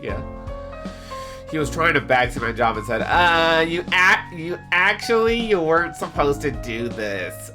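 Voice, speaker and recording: A man with a slightly stuffy nose chatting casually with live stream viewers in an excitable, playful, rambling way. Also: on the high side. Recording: music behind the voice. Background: background music with guitar.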